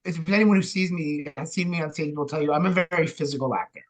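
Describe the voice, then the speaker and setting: A man talks casually as a podcast guest. His voice is somewhat high for a man, and he explains himself earnestly and with emphasis. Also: fast talker. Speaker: podcast guest, actor and director.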